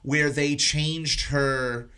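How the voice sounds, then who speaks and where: A man's voice: a little high, fairly even intonation, extremely slow, bright, forceful tone, smooth. Casual, sweary man talking movies. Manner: informal, freely swearing, teasing. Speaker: podcast host. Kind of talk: casual film discussion.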